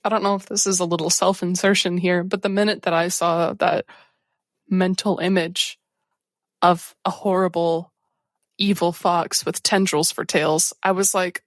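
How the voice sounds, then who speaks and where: A woman's voice: on the low side, flat delivery, normal speed, dry recording, clean studio sound. A woman chatting casually and jokingly as a tabletop game player. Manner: playful, joking. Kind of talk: casual chat.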